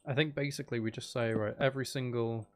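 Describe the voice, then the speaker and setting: A man's voice: medium pitch, fairly even intonation, fast talker. A man giving casual live gameplay commentary, rambling and thinking aloud as he goes. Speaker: gaming streamer. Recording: faint background noise and clicking.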